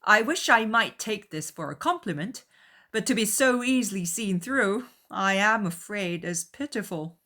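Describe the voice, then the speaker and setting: Woman playing amiable, animated young gentleman. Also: medium pitch, measured pace, pitched above the performer's own voice. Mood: breezy. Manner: eager, emphatic, courteous. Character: amiable, breezy, impulsive young English gentleman. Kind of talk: dramatized audiobook character voice.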